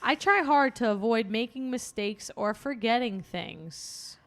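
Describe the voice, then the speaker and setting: A woman's voice: a little high, lively intonation, unhurried. A woman co-hosting a podcast, chatting casually and reading out quiz questions with a playful, laughing manner. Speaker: podcast co-host.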